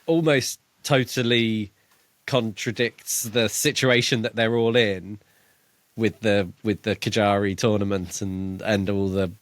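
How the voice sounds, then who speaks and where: A man's voice: fairly low pitch, fairly even intonation, measured pace, dry recording. A man co-hosting a podcast, chatting casually about a book and thinking aloud with some hesitation. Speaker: podcast co-host. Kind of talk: casual book discussion chat.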